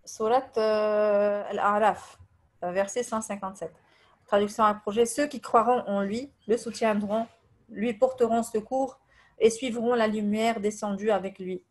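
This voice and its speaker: A woman from Tunisia whose first language is Arabic, giving a religious lesson in French, hesitating often with fillers, reading translations and reciting verses quickly. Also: medium pitch, fairly even intonation. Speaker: religious teacher. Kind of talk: religious lesson over voice messages.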